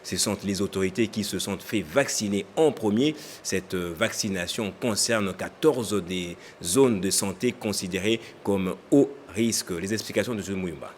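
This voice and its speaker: Low-voiced news anchor man. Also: slight background noise. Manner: measured, formal. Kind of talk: scripted news reading.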